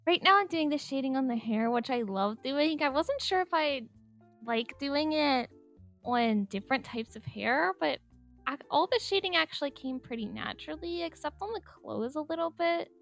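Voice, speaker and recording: High-pitched giggly young woman. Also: lively intonation, moderate pace, vocal fry. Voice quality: speaks higher than her normal voice on mic. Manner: chatty, giggly, rambling with many "like"s. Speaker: artist narrating a speedpaint. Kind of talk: casual voice-over commentary. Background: soft background music.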